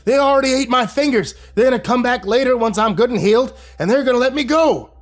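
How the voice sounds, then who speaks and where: Man doing a shouting bitter old hillbilly captive. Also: sits high, normal speed, voice pushed up. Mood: desperate shouting. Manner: gruff, rural speech, strained and shouting.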